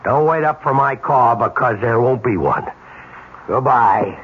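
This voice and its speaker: A man's voice: medium pitch, a little slow, husky. An actor playing an upright, agitated family man, speaking earnestly and emphatically in radio drama style. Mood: indignant. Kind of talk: 1970s radio drama acting. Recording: dull, noisy old radio broadcast.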